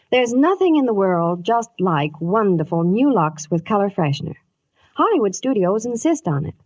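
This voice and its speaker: A woman's voice: mid-range voice, darkish tone, no echo. A woman reading scripted Hollywood news and sponsor copy in a 1950s radio style. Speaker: Hollywood reporter and sponsor pitchwoman.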